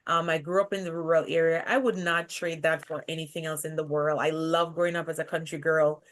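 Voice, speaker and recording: A woman's voice: sits low, little pitch movement, a little fast. A woman with a Jamaican accent, talking conversationally as a podcast guest. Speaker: podcast guest, leadership coach. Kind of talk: conversational interview.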